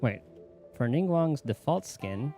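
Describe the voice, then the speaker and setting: A man's voice: medium pitch, animated, slow pace, mellow, soft background music. A man giving casual, rambling gameplay commentary as a streamer, in an offhand way. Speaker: game streamer.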